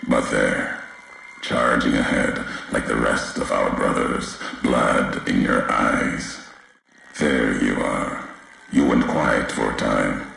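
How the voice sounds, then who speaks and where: An actor playing a brooding, vengeful wasteland wanderer obsessed with history in a video game, speaking in cryptic, clipped phrases with weighty pauses. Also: male voice, fairly bright tone, full character voice. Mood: solemn, probing. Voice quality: very deep, gravelly. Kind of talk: slow brooding game dialogue monologue. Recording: heavily echoing voice, faint background noise.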